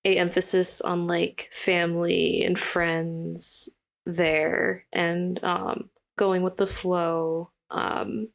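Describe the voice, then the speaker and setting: A young woman from Hawaii, a guest artist, chatting casually in an interview with a relaxed, rambling manner and lots of fillers. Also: lowish voice, very slow, gravelly.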